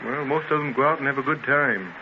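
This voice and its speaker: A man's voice: mid-range voice, fast talker, close to an everyday voice. An actor playing a sceptical, teasing young messenger boy in a 1930s radio drama. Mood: doubtful, teasing. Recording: muffled, noisy 1930s radio transcription.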